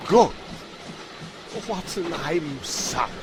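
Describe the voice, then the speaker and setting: A man's voice: fairly high pitch, animated, drawn-out words, over music. A man voicing an eccentric, showy detective in a comedic audio drama, speaking in a plummy, theatrical manner. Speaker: voice actor in a fan audio drama.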